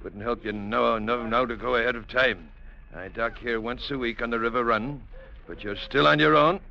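An actor playing a gruff, cynical river-boat captain in a blunt, sardonic radio-drama style. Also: male voice, medium pitch, normal speed, lightly acted. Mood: sardonic, warning. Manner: blunt, sardonic, shouts orders. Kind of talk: 1940s-50s radio drama acting. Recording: dull, noisy old radio recording.

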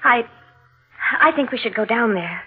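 An actress playing an anxious, secretive wife in a 1940s radio mystery, speaking with nervous hesitations and repeated words. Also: female voice, a little high, expressive, moderate pace, natural voice. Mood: tense, confessional. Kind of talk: 1940s radio drama acting, confessional narration. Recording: narrow-band vintage radio recording.

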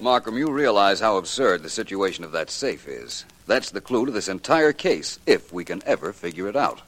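A gentleman sleuth in a vintage radio mystery. His voice is deep, and he speaks in an urbane, measured, precise way, never flustered. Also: sing-song, no room sound. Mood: deliberate, puzzled. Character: suave, unflappable gentleman detective. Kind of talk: 1940s radio detective drama acting.

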